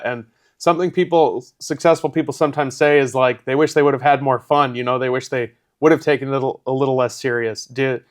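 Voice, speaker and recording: Male podcast host, thirties, middle voice, friendly rambling questioner. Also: mid-range voice. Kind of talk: interview questions.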